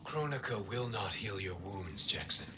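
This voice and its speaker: An actor playing a calm, wise wind god in a game cutscene, measured and solemn. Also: male voice, on the low side, close to an everyday voice. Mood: calm, mocking. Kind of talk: video game cutscene acting.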